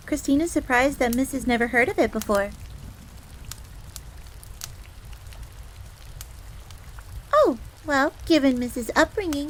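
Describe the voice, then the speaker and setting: A woman's voice: fairly high pitch, slight background noise, natural voice. A woman voicing a jealous, easily flustered young fox maid in a roleplay audio drama, speaking formally and referring to herself in the third person, with rain behind her. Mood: awkward, flustered. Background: rain on a surface.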